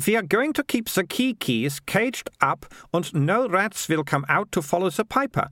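Guy doing a bossy confident storybook girl, proud, pitched way up, swoopy. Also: sing-song, moderate pace, a bit bright, dry recording, clean studio sound. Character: self-assured, triumphant girl with grand adventurous imagination.

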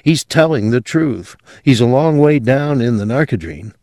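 Man voicing a clinical technician, raised pitch, analytical. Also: lively intonation, moderate pace, a little mellow, no echo, studio quality, close to an everyday voice. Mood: clinical, explaining. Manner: analytical, precise, explanatory. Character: clinical, matter-of-fact male memory technician.

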